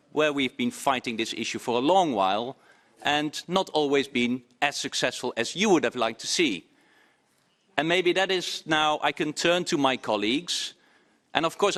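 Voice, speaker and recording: Man in parliament speaking earnestly to a committee, fairly high voice. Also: a bit bright. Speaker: member of parliament. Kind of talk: formal committee address.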